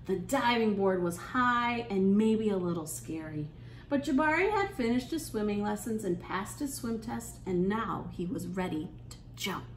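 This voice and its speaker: A woman leading a storytime for young children, reading aloud in an animated, encouraging way and putting questions to her listeners. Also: mid-range voice, normal speed. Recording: echoey room, clear background noise.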